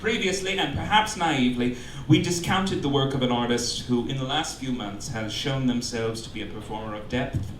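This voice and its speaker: A man's voice: mid-range voice, moderate pace, few pauses. A man performing a theatrical, campy comedy monologue, reading mock-official proclamations as host of a live show. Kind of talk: stand-up comedy monologue read to a live audience. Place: cabaret club stage before a laughing audience. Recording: roomy live club, distant mic, loud noise.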